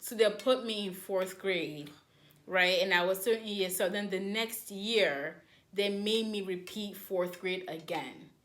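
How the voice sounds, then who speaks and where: A woman's voice: mid-range voice, unhurried, a bit bright. A young woman from Nigeria co-hosting a podcast, talking in casual chat and stressing her points by repeating them. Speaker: podcast co-host.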